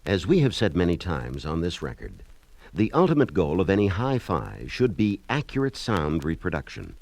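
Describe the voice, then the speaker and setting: A man's voice: deep voice, wildly swooping pitch, moderate pace, gravelly, a little mellow, no room sound. A man announcing in scripted narration, mock-solemn and formal.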